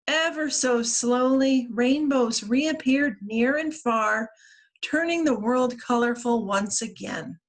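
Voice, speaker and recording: A woman reading a children's storybook aloud as a librarian at story time, with expressive, deliberate phrasing. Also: a little high, poor audio. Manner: expressive, deliberate phrasing with acted-out character lines.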